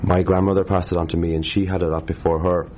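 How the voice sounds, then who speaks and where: Fast-talking deep-voiced man. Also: slight background noise. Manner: animated, rambling, many restarts. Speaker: harpist, interview guest. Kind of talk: casual interview chat.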